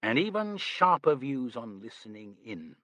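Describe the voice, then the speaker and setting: A man's voice: mid-range voice, sing-song, a little slow, fairly bright tone, no room sound. A man voicing a dry, cool-toned woman. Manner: dry, clipped.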